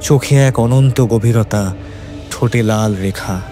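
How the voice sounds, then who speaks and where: A male storyteller reads a scripted horror tale. His voice is low and dark, building suspense dramatically, with faint music beneath. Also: a little slow. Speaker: narrator.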